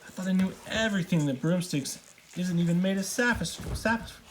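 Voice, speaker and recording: An indignant know-it-all of an older witch sister from a storybook, voiced by a man. The pitch is raised, and the recording has some noise. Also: normal speed, lightly acted. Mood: incredulous.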